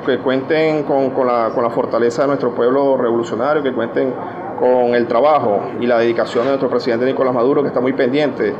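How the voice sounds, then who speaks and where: Casting: male official, halting off-the-cuff delivery, muffled noisy outdoor recording. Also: medium pitch, steady tone. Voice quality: halting, repeats words, many fillers. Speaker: lawmaker interviewed in the field. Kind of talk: off-the-cuff field interview.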